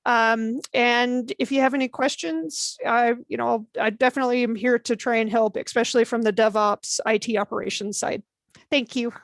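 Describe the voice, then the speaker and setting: A woman's voice: fairly high pitch, fairly even intonation, moderate pace, no room sound. A woman in her thirties explaining her engineering job plainly on a panel. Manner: plain, explanatory, with some restarts. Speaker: senior service engineer, panelist. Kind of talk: casual panel introduction. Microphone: video call.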